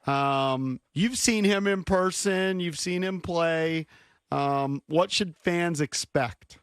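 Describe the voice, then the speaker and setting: Fairly high American male radio host, dry. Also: takes it slowly, clean tone, dry recording. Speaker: sports radio host introducing guest. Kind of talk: casual radio interview.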